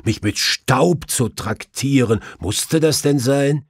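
A man voicing a proper, easily affronted gentleman companion with a formal, fussy manner. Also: medium pitch, takes it slowly, breathy edge, no echo, studio quality, voice pushed up. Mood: indignant.

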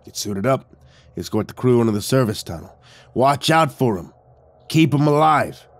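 A man's voice: mid-range voice, expressive, moderate pace, dry recording, lightly acted. A man voicing a breezy, slangy male coworker in an audiobook, cajoling and reassuring. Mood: businesslike. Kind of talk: audiobook character voice.

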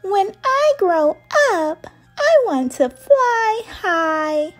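Give me a woman playing a determined, dreamy young hen from a children's storybook. She sounds childlike and very high, stretching her words out slowly and earnestly. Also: big pitch swings, faint music behind, exaggerated voice. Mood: dreamy, hopeful. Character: determined, dreamy little girl chicken.

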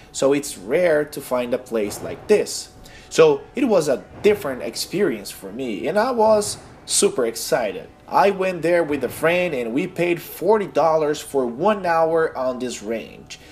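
A man's voice: on the high side, slight background noise. Young Brazilian man narrating a vlog. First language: Portuguese. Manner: earnest, slightly halting non-native English. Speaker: gaming YouTuber. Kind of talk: casual voice-over vlog.

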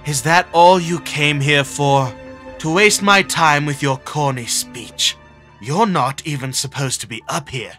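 A man's voice: fairly high pitch, a little slow, sharp tone, faint music behind. A man voicing an arrogant, commanding teenage schoolboy in a comic dub, curt and cold. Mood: impatient, scornful. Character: arrogant, commanding high-ranking teenage schoolboy obsessed with hierarchy. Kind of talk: dramatic comic dub acting.

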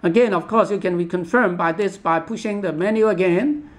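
A man giving an unscripted step-by-step product demonstration in a halting, repetitive instructional manner. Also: high-pitched. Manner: halting, repetitive, instructional, with imperfect English grammar.

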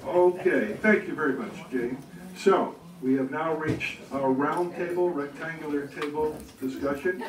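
A man's voice: fairly high pitch, measured pace. Older man moderating a crowded room. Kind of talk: event moderating. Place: community meeting room, audience seated. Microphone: handheld mic, heard from afar. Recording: roomy, distant mic with crowd.

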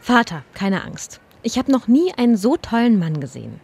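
An actress playing a picky, haughty, dreamy young princess in a comic radio play. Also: female voice, on the high side, very animated, moderate pace, vocal fry, a little mellow, breathy edge, exaggerated voice. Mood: lovestruck.

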